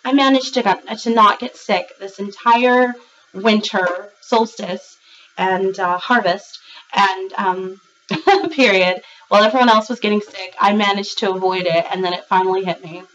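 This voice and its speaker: A woman giving a rambling, chatty tarot reading to her viewers, a little raspy and congested from a cold, with many fillers and restarts. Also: medium pitch, a little slow, fairly bright tone, recorded from a distance, highs cut off. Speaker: tarot reader. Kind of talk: casual monthly tarot reading to camera.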